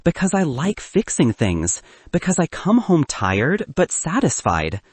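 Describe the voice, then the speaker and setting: A man voicing a gentle, plain-spoken middle-aged working father in an audiobook. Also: a little high, huge pitch swings, unhurried, husky, no echo, pitched above the performer's own voice, full character voice. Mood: gentle, earnest.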